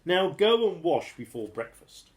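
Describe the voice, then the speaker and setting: A woman voicing a huge, kindly but bossy giantess in a storybook, speaking in a booming, scolding way. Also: big pitch swings, measured pace, slight background noise.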